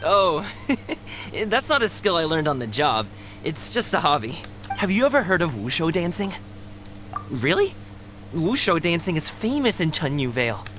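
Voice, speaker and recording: A woman voicing a friendly, energetic and chatty young female guard in a video game, with a casual, considerate way of talking. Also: fairly low pitch, moderate pace. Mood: easygoing. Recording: game music underneath.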